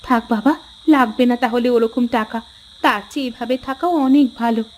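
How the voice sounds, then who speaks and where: Woman acting a high-voiced village wife, cartoon. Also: fairly even intonation, fairly quick, slight background noise, lightly acted. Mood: practical. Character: practical village wife in a moral folk tale. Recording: music behind the voice.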